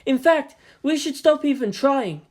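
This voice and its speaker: Woman as earnest young male technician, raised pitch. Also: expressive, measured pace, a little mellow. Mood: urgent. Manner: crisp military reporting.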